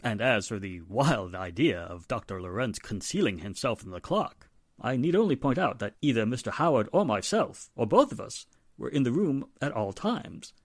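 A man's voice: mid-range voice, big pitch swings, dry recording, clean studio sound. An actor playing a haughty, formal, wealthy father in a 1940s-style radio mystery. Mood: smug, reasoning. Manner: formal, pompous, measured. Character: polished, haughty wealthy father, secretly guilty.

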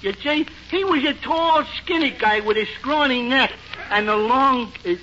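On a muffled, crackly 1940s radio recording, a slow-witted New tavern regular. The voice is pitched high and halts along in good-natured, oblivious fashion. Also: male voice, pushed and bright, heavily acted. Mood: dopey sympathy. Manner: dopey, halting, oblivious. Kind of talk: 1940s radio comedy acting.

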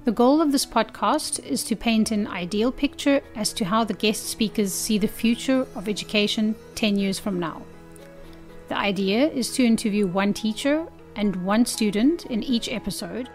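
A woman hosting an interview podcast, presenting scripted introductions and questions to her guests. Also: a little high. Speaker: podcast host.

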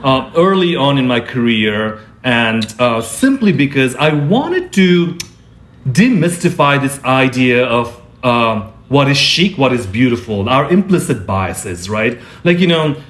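A man who is a fashion designer, speaking in a conversational interview, thoughtful and often pausing to search for his words. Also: medium pitch, expressive, a bit bright. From born in Singapore, grew up in Nepal and India.

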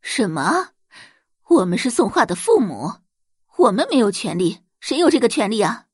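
Spiteful society mother acted by a woman: haughty, sneering, swooping indignant delivery with a husky edge, audio drama. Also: a little high, very animated, moderate pace, darkish tone, no echo, clean studio sound. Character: haughty, spiteful middle-aged rich wife and mother.

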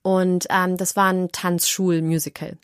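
A woman's voice: fairly low pitch, level tone, a little slow, smooth, no echo, clean studio sound. A woman giving casual, chatty interview answers in a playful, self-ironic way, telling stories with animation. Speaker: interview guest, actress and audiobook narrator.

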